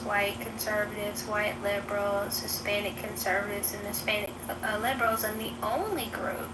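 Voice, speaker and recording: A woman in a voice-chat debate who lays out her point in a measured way and cites a study. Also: a little high. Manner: measured, cites data, dismissive. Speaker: debate participant. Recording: echoey, distant, noisy playback.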